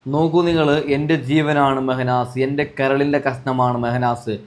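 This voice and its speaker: A man's voice: mid-range voice, level tone, normal speed. A man giving indignant, accusatory commentary to viewers, repeating himself and throwing out rhetorical questions. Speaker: vlogger commenting on social media disputes.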